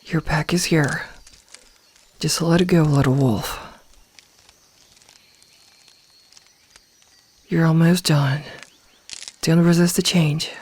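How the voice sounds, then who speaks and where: A woman playing a gentle, motherly werewolf in a soft ASMR roleplay, soothing and reassuring as she guides a newly turned packmate. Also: sits low, steady tone, unhurried, breathy edge.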